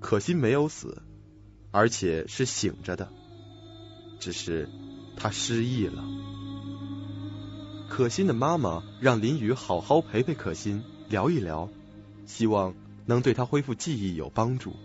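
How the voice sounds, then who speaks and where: A man's voice: on the low side, sing-song, unhurried, long pauses. A man narrating a scripted audio drama on a lo-fi recording. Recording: dull lo-fi recording, faint music behind.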